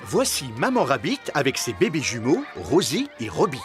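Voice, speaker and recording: A man's voice: medium pitch, wildly swooping pitch, moderate pace. A man as the friendly storybook narrator of a children's cartoon. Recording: loud music behind.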